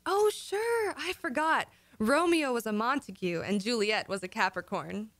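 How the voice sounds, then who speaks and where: A woman's voice: fairly high pitch, expressive, a little slow, fairly bright tone. An actress playing a naive, scatterbrained married woman in a radio comedy, innocent and earnest in her dizzy way. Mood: naive, wondering. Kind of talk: old-time radio comedy acting.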